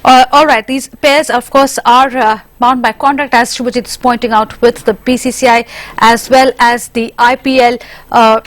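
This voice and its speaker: A woman's voice: on the high side, steady tone, moderate pace. A woman with an Indian English accent anchoring live news and putting questions to reporters.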